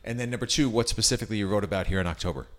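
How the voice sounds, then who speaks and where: A man's voice: lowish voice, racing. A middle-aged man with an American accent, interviewing a guest in a chatty, informal and complimentary way. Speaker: interviewer, host. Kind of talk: casual conversational interview.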